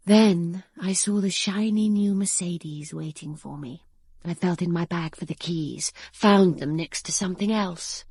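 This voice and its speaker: Guarded young woman in a radio drama, husky voice, very dry close sound, confessional and controlled. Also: fairly low pitch, a little mellow, no echo. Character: young garage-working bride-to-be, guarded, suspicious, narrating her betrayal.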